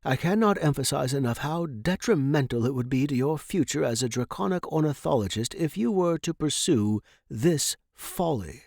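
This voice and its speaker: A man's voice: on the high side, lively intonation, normal speed, creaky, few pauses, darkish tone, no room sound, studio quality. A man voicing a stern, pompous Victorian academic mentor who speaks formally and admonishingly, as in an audiobook. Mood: stern disapproval.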